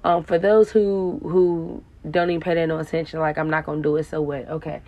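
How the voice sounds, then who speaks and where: A woman life coach with a raspy voice, giving a spiritual coaching talk and tagging her lines with "okay?". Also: low-pitched, little pitch movement, brisk, mellow, lo-fi sound. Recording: guitar music playing under the voice.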